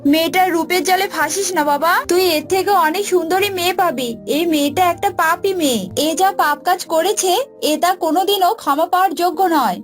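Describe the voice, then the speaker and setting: A woman's voice: very high voice, brisk. A woman voicing a stern, indignant older village mother in a cartoon, scolding with scorn. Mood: shocked, scolding. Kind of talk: cartoon dialogue acting. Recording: music bed behind voice.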